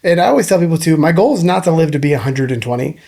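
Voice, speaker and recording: Thirties male podcast guest, slightly high voice, lively and exasperated, casual slang. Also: racing, gravelly. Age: 30s. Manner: animated, exasperated, says dude and homie. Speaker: nutritionist guest.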